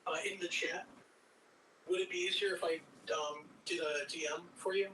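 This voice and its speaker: A man's voice: on the high side, fairly even intonation, moderate pace, bright, forceful tone. A man narrating a script and hosting a table reading, on an online group call. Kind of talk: scripted stage-direction narration with casual hosting. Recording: distant mic, faint background noise.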